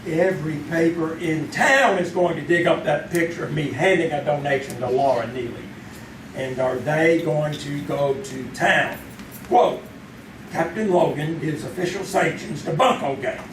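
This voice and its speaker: An actor playing an aggrieved, sarcastic police captain with emphatic delivery in a live old-time radio drama. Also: male voice, on the high side, moderate pace, lightly acted. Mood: sarcastic, indignant. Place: theater stage, performed live. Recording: distant, echoey live stage, faint noise.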